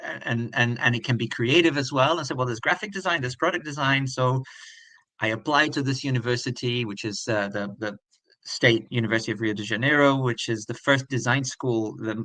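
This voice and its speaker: A man's voice: mid-range voice, a little fast, bright, forceful tone, no echo. A middle-aged man from Brazil, a native Portuguese speaker, telling his story as an interview guest in a reflective way that is full of restarts. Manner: reflective, thinks aloud with frequent restarts.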